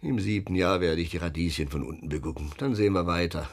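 An actor playing an aging, despairing writer in a radio drama, theatrical, self-pitying and brooding. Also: male voice, deep voice, fast. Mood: grumbling, excitable. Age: older. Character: aging despairing male writer, weary, childish, morbidly theatrical. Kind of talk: German radio drama acting.

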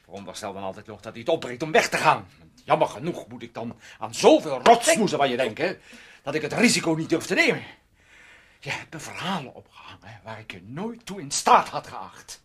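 A man's voice: on the high side, a bit bright. An actor in a radio play playing a possessive, bitter man who taunts and threatens with theatrical menace. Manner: taunting, theatrical, swinging into agitation. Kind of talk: Dutch radio play acting.

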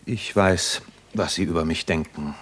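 Deep-voiced, earnest, reflective doctor. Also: male voice, unhurried, husky. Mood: defensive, proud. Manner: earnest, educated, contemplative. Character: weary, proud, reflective male army doctor and writer. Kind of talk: 1950s German radio play acting.

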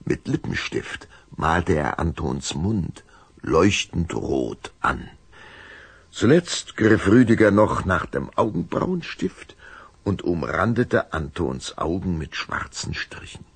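Very deep, gravelly male storyteller. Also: very animated, no echo. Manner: dramatic, deliberate storytelling. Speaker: narrator. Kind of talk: scripted children's story narration.

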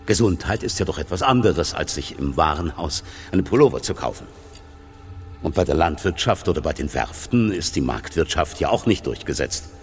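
An actor playing a principled hospital doctor interviewed in a radio drama, speaking deliberately and with emphasis. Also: male voice, very deep voice, expressive, slight background noise. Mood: earnest, critical.

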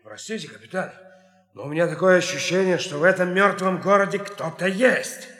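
High-pitched uneasy astronaut. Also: male voice, fairly quick, fairly bright tone. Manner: apologetic, nervous. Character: uneasy, deferential male astronaut subordinate. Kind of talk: radio drama acting. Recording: echoing reverberant radio drama scene.

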